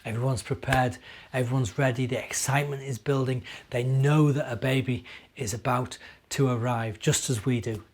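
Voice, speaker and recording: A middle-aged man, a minister, talking and reading to young children in an expressive, simple storytelling way. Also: mid-range voice, moderate pace. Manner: expressive, simple child-directed delivery with rhetorical questions. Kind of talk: children's storytelling and gentle chat.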